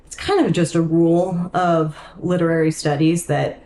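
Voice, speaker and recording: A woman's voice: on the low side, steady tone, normal speed, warm tone, slight background noise. A young woman co-hosting a casual podcast, talking informally with frequent "like" and small asides. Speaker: podcast co-host.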